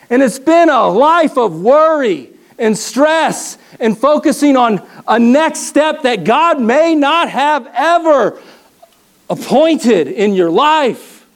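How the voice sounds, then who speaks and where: A man preaching a sermon from a church stage, animated and full of rhetorical questions and repeated phrases. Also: very high-pitched. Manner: animated, acts out people's inner voices. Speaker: pastor. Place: church stage with a ladder prop.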